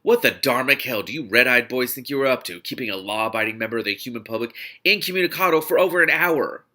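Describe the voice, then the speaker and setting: A man voicing a furious, protective, blunt male rescuer in a storybook reading, loud and indignant. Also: medium pitch, quick pace, close to an everyday voice.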